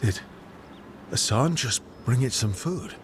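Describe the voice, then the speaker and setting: An actor playing a calm, supportive, gently wry male hero in video game dialogue, giving short, unhurried replies. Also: medium pitch, background noise. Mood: tender. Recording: music under dialogue.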